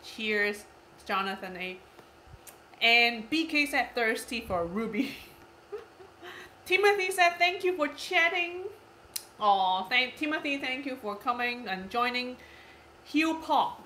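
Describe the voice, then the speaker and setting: A woman's voice: on the high side, expressive, takes it slowly, long pauses, pushed and bright, a little hiss or hum. A woman in her thirties whose first language is Cantonese, hosting a casual live stream, reading comments aloud, giggly and repeating herself. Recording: echoey room.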